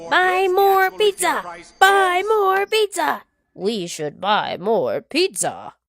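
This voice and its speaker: Woman playing rowdy storybook ducks, squealing, chanting, very high, lively. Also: slow pace, no room sound, full character voice. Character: rowdy chorus of pizza-hungry ducks.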